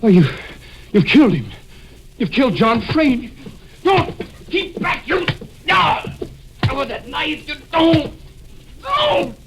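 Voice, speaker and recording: A desperate, high-pitched wounded attorney. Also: male voice, big pitch swings, slow, heavily acted. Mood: terrified. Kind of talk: melodramatic 1940s radio acting. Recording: noisy 1940s radio drama recording.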